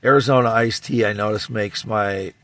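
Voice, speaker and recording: A man vlogging casually, with a scratchy, slightly constricted voice and a rambling, halting way of talking. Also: lowish voice, fairly even intonation, a bit bright. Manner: rambling, halting delivery with restarts. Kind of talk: casual self-recorded vlog.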